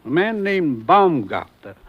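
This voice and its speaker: An actor playing a stern, businesslike American government commissioner in 1950s radio drama, speaking with clipped authority. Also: male voice, on the high side, sing-song, a little slow, slightly rough, full character voice. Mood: grave, businesslike. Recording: dull old radio recording.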